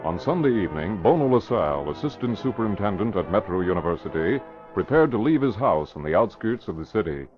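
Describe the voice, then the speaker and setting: An actor narrating an old radio mystery drama in a measured, solemn, dramatic way. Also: male voice, on the low side, big pitch swings. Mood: grave. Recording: muffled vintage radio recording with music.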